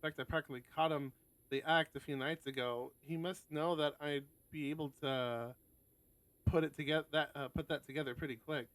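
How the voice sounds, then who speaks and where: A man's voice: a little high, steady tone, moderate pace, a bit bright, no room sound, poor audio. A man reading aloud and chatting casually on a game stream, sometimes swearing in asides. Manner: casual, sweary asides between narrated passages. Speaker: host of a visual novel stream.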